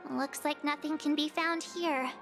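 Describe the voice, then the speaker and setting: A woman's voice: high-pitched, steady tone, measured pace, fairly bright tone, soft background music. A woman voicing a chirpy, inquisitive young adventurer girl in an anime-style video game. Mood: curious.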